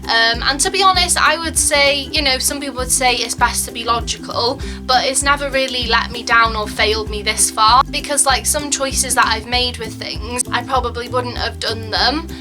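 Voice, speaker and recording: A woman's voice: fairly high pitch, moderate pace, hardly any pauses, music in the background. A young woman in her twenties chatting casually to camera, full of "like" and "um", acting out quoted lines. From Wales. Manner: chatty, frequent "like" and "um", acts out quotes. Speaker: vlogger.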